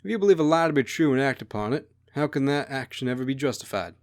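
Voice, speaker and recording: A man's voice: mid-range voice, animated, fast, close to an everyday voice. A man voicing a blunt, probing republican who argues against royalty in a story. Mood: challenging.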